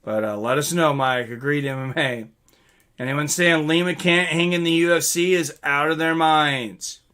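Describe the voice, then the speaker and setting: Male fight-stream host, middle-aged, slightly high pitch, very bright forceful tone, casual rambling chat with viewers. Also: normal speed, smooth. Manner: rambling, reading out and answering chat comments. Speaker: livestream host and fight commentator.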